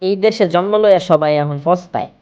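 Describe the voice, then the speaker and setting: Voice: mid-range voice, quick pace, clear, resonant tone, highs cut off, close to an everyday voice. Sing-song young bachelor voiced by an actor. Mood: plaintive. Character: lonely young male bachelor who sings and raps. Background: loud cartoon background music.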